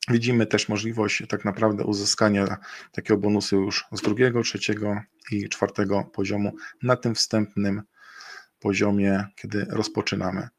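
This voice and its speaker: A man's voice: fairly low pitch, flat delivery, moderate pace, no echo. A middle-aged man giving a webinar presentation over a video call. He talks in a hesitant way, with many fillers and self-corrections. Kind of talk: screen-share webinar presentation. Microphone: webcam and computer mic on a video call.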